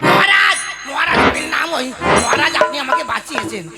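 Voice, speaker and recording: A man doing a whiny, gravelly jobless beggar. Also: very high-pitched, lively intonation, fairly quick, raised voice, loud music behind the voice. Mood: wheedling. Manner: whining, pleading, sing-song begging. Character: poor pleading male subject, jobless, cheeky. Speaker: jobless subject seeking a government job. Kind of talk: comic puppet show acting.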